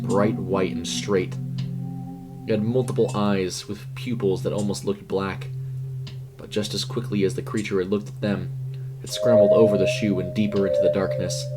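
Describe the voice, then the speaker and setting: A man narrating a scripted horror story. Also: low voice. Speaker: narrator and voice performer.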